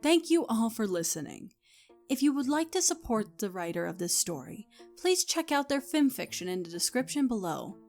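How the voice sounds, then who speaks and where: A woman's voice: fairly high pitch, sing-song, moderate pace, a little mellow. Woman narrating a story over music.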